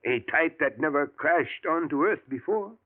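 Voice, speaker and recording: An actor playing an elderly male professor, a thoughtful scientist who talks in a measured way and chuckles often, in a 1940s radio drama. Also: a little high, lively intonation. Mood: confiding. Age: older. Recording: tinny lo-fi old radio broadcast.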